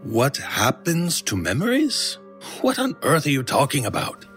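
Flustered, high-voiced, wildly expressive fellow. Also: male voice, measured pace, faint music behind, heavily acted. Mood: skeptical, puzzled. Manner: theatrical, hesitant, exclamatory. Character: flustered, skeptical shop man in a ghost story. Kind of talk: horror audio drama acting.

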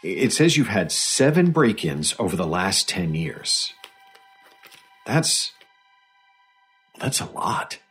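Male government interviewer, very husky, lowish, lively intonation, earnest, placating, audio drama. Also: slow pace, pauses often, soft background music, full character voice. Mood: earnest, curious. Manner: placating, hesitant.

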